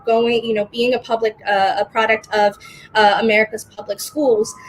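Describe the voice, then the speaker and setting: A woman's voice: medium pitch, poor audio. Young female panelist, earnest and unpolished, light background noise. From Dominican Republic. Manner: warm, earnest, many fillers. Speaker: panelist, organizer. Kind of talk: casual spoken remarks.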